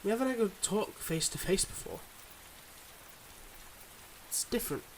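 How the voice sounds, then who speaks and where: Male actor as suave mob boss boyfriend, fairly high voice, confiding and teasing, intimate ASMR roleplay, rain behind. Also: animated, moderate pace, slight background noise, low-quality recording. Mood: charming, relaxed. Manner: smooth, confiding, teasing, speaking to a listener.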